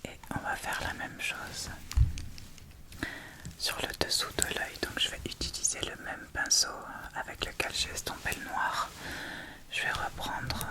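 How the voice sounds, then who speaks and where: A woman whispering an ASMR makeup tutorial, hushed and unhurried, talking through each step as she goes. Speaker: ASMR video creator. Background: soft thunderstorm ambience.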